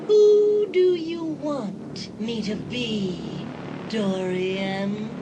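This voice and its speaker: A woman's voice: fairly high pitch, very noisy. A woman playing a taunting undead killer in a young woman's shape in a horror audio drama, gloating and theatrical. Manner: gloating, theatrical, slow and drawn out.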